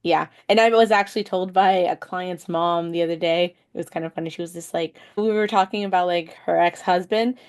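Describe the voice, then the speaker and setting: A young woman from Mexico co-hosting a podcast, telling stories in a lively, laughing way. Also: on the low side, fairly quick, few pauses, clear, resonant tone. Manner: lively storyteller, laughs often. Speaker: podcast co-host, counselor. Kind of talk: casual podcast chat.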